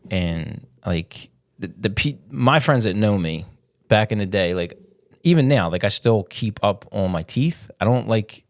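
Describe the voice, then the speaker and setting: Congested man with a very low voice. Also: dry recording, clean studio sound. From Philadelphia area. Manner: rambling, loose storytelling with many fillers. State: congested, sniffling. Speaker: podcast host. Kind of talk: casual monologue.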